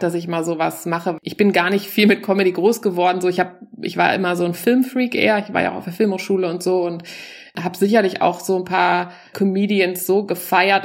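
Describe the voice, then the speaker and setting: Woman in her forties, comedian, casual chatty talk, slightly low voice, sprinkles in english words. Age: 40s. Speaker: stand-up comedian and guest.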